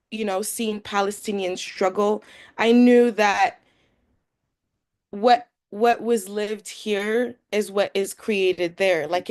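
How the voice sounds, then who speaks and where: A young woman from Morocco giving an earnest, loosely worded guest talk on a video call, with many fillers. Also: mid-range voice. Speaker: guest speaker. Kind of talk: webinar talk. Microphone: computer mic on a video call.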